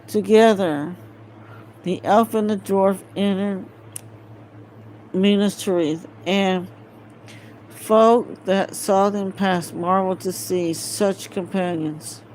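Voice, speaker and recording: An amateur woman reader works through a fantasy novel at a slow pace. She is hesitant, breaking phrases apart and stumbling over words. It is a home recording with faint noise behind her voice. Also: mid-range voice, fairly even intonation, a little mellow, low-quality recording. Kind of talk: halting read-aloud of a fantasy novel.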